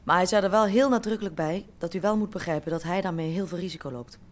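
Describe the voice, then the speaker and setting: An actress in a radio drama playing a careful, insistent, businesslike go-between for shady investors. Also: female voice, lowish voice, fast, a little hiss or hum, lightly acted. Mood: warning.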